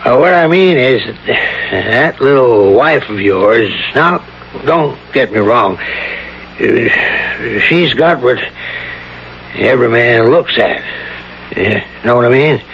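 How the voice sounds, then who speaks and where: An actor playing a folksy, insinuating police chief in a vintage radio drama, questioning bluntly in colloquial phrases with sly pauses. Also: male voice, mid-range voice, lively intonation, gravelly. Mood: sly, probing. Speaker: actor in a radio mystery play. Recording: dull vintage radio broadcast, faint noise.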